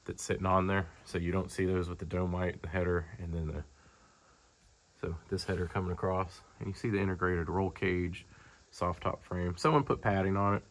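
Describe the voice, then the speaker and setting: Deep, gravelly man giving a casual walkaround. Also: level tone, normal speed. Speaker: car dealer presenting a vehicle. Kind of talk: casual off-the-cuff sales walkaround. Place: large indoor car showroom. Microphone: handheld camera, speaker behind it.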